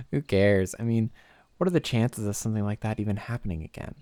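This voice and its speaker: A man's voice: fairly low pitch, big pitch swings, dark tone, no echo. A man playing a sly, mocking vampire who poses as an awkward hiker in an audio drama. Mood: feigned curiosity. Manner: halting, coy, mocking. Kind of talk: cinematic audio roleplay acting.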